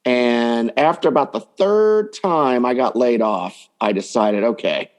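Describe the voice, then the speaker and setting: A man's voice: medium pitch, animated, unhurried, darkish tone. A man talking casually and explaining his coaching work as a guest in an interview. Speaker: dating coach, podcast guest.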